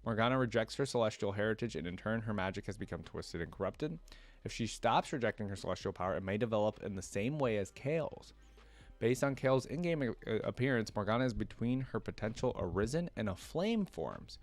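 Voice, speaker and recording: A man's voice: lowish voice, lively intonation, brisk, no echo. A man hosting a casual reaction video, reading reference text aloud and breaking off for informal commentary. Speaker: YouTube reaction host.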